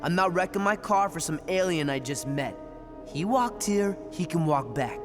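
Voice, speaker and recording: A man's voice: fairly high pitch, animated, moderate pace, natural voice. An actor playing a cocky, grumpy teenage boy in a video game, blunt and sarcastic about everything. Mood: sarcastic, suspicious. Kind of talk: scripted video game cutscene acting. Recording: loud music behind the dialogue.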